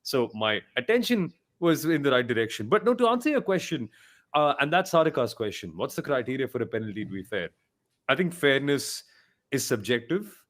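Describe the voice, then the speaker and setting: A man's voice: medium pitch, sing-song, a little fast, fairly bright tone. A man giving blunt, emphatic opinions as a panelist on a motorsport podcast, often repeating himself for emphasis.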